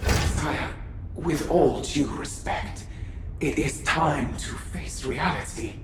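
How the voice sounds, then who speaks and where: A man's voice: fairly high pitch, slow, echoey room, background noise. An actor playing a loyal, grave servant commander in a video game, speaking formally and deferentially to his sovereign. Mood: grave, resigned. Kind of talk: dramatic video game cutscene acting.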